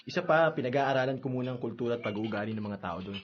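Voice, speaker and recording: An actor playing a reserved, guarded young older brother, speaking politely in a radio drama. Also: male voice, medium pitch, rapid-fire, a little hiss or hum, natural voice. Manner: restrained, polite. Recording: dull, band-limited radio drama audio.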